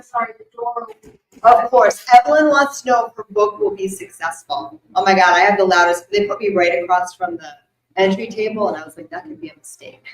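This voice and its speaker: A woman giving intuitive readings to a live audience, chatty and rambling, with many fillers and asides. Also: medium pitch. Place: event room with an audience. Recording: echoey room.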